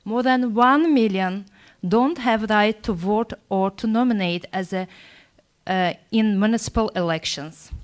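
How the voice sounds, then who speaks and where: A woman with a middle-pitched voice makes an earnest formal statement at a conference. She is from Azerbaijan and poses pointed questions to the room. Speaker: conference participant. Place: large conference hall.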